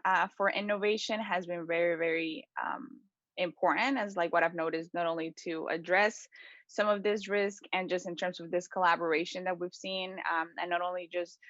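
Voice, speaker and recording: A woman's voice: lowish voice, fairly even intonation, moderate pace, a bit bright, dry recording, lo-fi sound. A woman answering an interview question, searching for words with many fillers and restarts. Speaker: guest, resilience network member.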